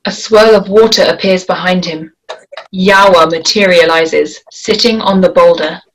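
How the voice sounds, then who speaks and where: A woman reads the stage directions of a script slowly and deliberately. Her voice sits a little low. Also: rough recording. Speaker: narrator reading stage directions. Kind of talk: table read narration.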